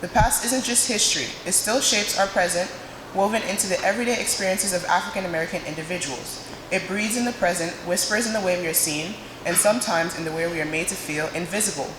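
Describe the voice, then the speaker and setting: Young woman, dramatic speech. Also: fairly low pitch. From Nigeria. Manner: dramatic, emphatic delivery with pauses. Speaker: student speaker. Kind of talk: prepared speech to an audience. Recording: echoey hall, noisy room sound.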